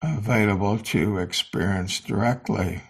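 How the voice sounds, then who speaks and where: A man teaching meditation, guiding a sitting in short, sparse phrases with long pauses between them. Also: fairly low pitch, creaky, husky. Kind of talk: guided meditation.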